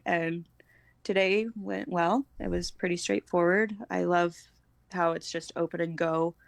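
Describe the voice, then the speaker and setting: American mom in her 30s just chatting on a podcast, lowish voice, lots of fry, close dry sound. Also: no room sound. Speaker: podcast guest, homeschooling mother. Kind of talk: casual conversational chat.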